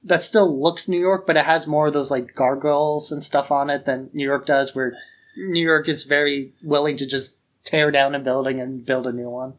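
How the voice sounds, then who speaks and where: Male co-host, a bit higher in pitch, informal and enthusiastic conversational film discussion. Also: fairly even intonation, normal speed. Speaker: podcast co-host.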